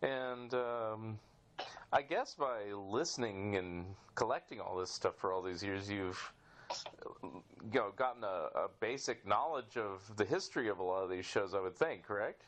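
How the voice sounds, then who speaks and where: A man hosting a podcast interview in casual chat, with halting, rambling questions. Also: on the low side, lively intonation, fairly bright tone.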